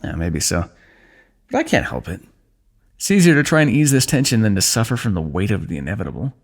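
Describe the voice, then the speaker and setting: A man playing a weary, wry fantasy warrior in an ASMR roleplay, speaking in measured, formal phrases with dry teasing. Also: fairly low pitch, big pitch swings. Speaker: ASMR voice actor. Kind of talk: ASMR fantasy roleplay, one-sided dialogue.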